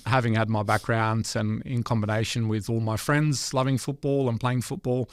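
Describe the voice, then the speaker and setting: A man's voice: medium pitch, little pitch movement, normal speed, no room sound, studio quality. An Australian man, a former goalkeeper, talking about his football upbringing in a casual interview, thoughtful with many fillers. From Western Sydney, Australia. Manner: reflective, many fillers and restarts.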